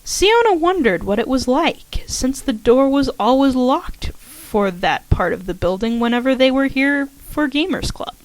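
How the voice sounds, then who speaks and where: A woman's voice: sits high, animated, a little slow, hardly any pauses, no room sound, rough recording. A woman carefully reading a story aloud, slightly shaky in her delivery. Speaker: fanfiction audiobook reader.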